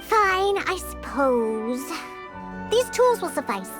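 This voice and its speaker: A woman's voice: high voice, very animated, takes it slowly, music in the background, heavily acted. A woman voicing a proud, grumpy young cat-girl bartender in a video game, haughty and disdainful in a childlike way. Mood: grudging. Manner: haughty, curt, grumbling. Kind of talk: English video game voice acting.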